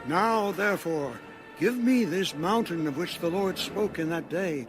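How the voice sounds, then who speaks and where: An actor playing a steadfast eighty-five-year-old warrior in a solemn, measured dramatized Bible reading. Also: male voice, high-pitched, lively intonation. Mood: resolute. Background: music.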